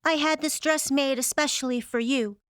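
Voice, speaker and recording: Woman acting a very high-voiced naive young girl. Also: steady tone, moderate pace, dry recording, clean studio sound, close to an everyday voice. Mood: coy, hurt. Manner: innocent, girlish. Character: naive, sweet young woman, easily hurt. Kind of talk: old-time radio drama acting.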